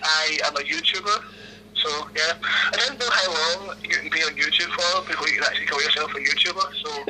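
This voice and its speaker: A man's voice: fairly high pitch, a little fast, very noisy. A man in his twenties with a Glaswegian accent, answering interview questions over a phone call in a hesitant, laughing way, full of ums and restarts. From Scotland. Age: 20s.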